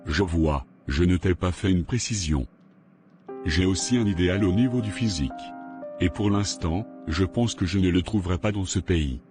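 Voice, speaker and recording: An actor plays a haughty, fussy rich man in an animated drama. His voice is very low and his delivery pompous and boastful. Music plays clearly behind him. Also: moderate pace, lightly acted. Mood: smug.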